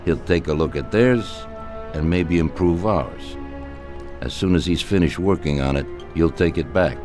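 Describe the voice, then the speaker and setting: An older mob boss, speaking slowly and deliberately with a commanding, shrewd edge. His voice is extremely deep and low. Music plays clearly behind him throughout this game cutscene. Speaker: crime boss. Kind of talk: video game cutscene acting.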